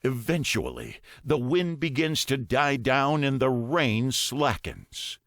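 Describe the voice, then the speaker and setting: A man reading a first-person story as an audiobook narrator, deliberate and dramatic, pausing between phrases. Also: medium pitch, big pitch swings, a bit bright, no room sound, studio quality.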